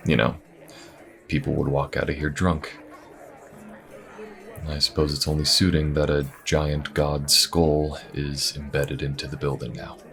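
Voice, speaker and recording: A man playing a weary, easygoing inquisitor in a fantasy audio roleplay, chatting in a wry, conversational way with musing pauses. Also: full character voice. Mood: wry, casual. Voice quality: very deep, gravelly. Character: weary, easygoing adult male Inquisition agent and adventurer. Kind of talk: fantasy audio roleplay, in-character small talk to the listener.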